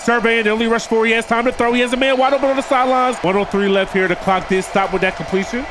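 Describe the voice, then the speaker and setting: A man's voice: very high voice, fairly even intonation, quick pace, background noise. Nasal, stuffed-up man calling game plays. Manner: addresses viewers as "boys", hypes big plays. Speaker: gaming video creator. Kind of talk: play-by-play commentary over a football video game.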